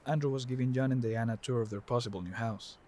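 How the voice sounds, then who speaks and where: Man, narrator, mid-pitched voice, scripted storytelling, audio drama. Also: steady tone, quick pace, darkish tone.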